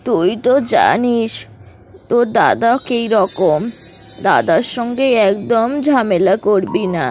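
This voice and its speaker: A woman's voice: mid-range voice, very animated, slow. A woman playing a dying old mother bird in a cartoon, pleading wearily and tenderly. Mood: weary, tender. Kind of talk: cartoon voice acting. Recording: music behind the voice. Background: music.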